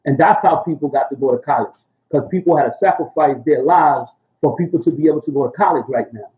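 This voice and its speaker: A man's voice: fairly high pitch, fast, narrow, tinny audio. A man in his sixties from Puerto Rico, telling his family history in a loose, rambling way, full of street slang and "you know what I'm saying.". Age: 60s. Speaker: interviewee, rapper. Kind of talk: casual oral history interview.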